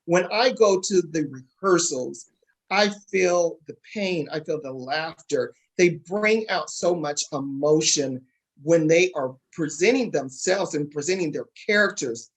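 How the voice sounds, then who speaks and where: A talk show host with a husky voice, addressing guests and viewers in an emphatic, encouraging way that repeats phrases for stress. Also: medium pitch, unhurried.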